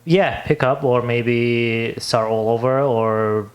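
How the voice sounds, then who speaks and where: A young man from Libya speaks, English plainly a to him. He talks as a podcast guest in a casual, halting way, pausing often to find the right word. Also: medium pitch, fairly even intonation. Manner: halting, searches for words. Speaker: podcast guest, business owner. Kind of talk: casual interview chat.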